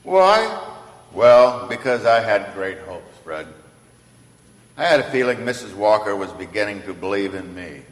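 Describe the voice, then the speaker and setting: A man's voice: fairly low pitch, lively intonation, unhurried. An actor playing a kindly, emphatic old man who believes he is Santa, in an old-time radio play. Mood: disappointed. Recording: echoey hall with long reverb.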